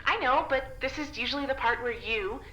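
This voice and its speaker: A woman's voice: high-pitched, a little fast, a bit bright, reverberant, rough recording. A woman playing a cheeky young female prisoner in a naturalistic audio drama, prying and teasing with dry, sardonic wit. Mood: playful, prying.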